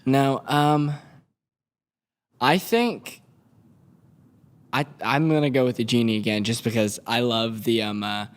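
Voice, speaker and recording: A young man talks as a podcast guest at an ordinary middle pitch. He speaks eagerly but often stalls and gropes for words. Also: fairly even intonation, measured pace, pauses often, clear, resonant tone. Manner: enthusiastic, hesitant with fillers. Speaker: guest voice actor. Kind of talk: casual podcast chat.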